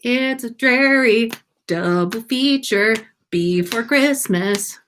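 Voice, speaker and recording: Slow-paced woman reading and chatting playfully. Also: fairly high pitch, a bit bright, a little hiss or hum. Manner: playful, informal. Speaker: podcast host and story reader. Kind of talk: storytelling read-aloud and casual livestream chat.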